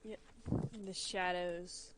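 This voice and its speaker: A woman's voice: lowish voice, little pitch movement, takes it slowly, a bit bright, low-quality recording. A woman chatting casually and improvising role-play narration on a game stream. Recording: faint background noise.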